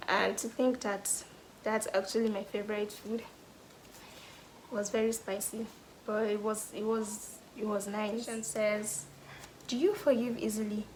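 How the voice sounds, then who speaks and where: A young Nigerian woman answering get-to-know-me questions in a casual vlog, thoughtful, pausing often and sometimes dropping to a whisper. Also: medium pitch, a little hiss or hum, rough recording. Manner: thoughtful, pauses with "hmm" and "um", drops to a soft whisper at times. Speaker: vlogger.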